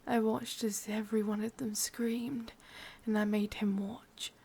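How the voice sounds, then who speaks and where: A woman with an English accent playing a sadistic, brooding witch in a breathy, mostly whispered ASMR roleplay. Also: fairly high pitch, little pitch movement, exaggerated voice. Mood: cold, menacing. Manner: slow, hushed, deliberate. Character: sadistic, brooding female witch, bitter and obsessive.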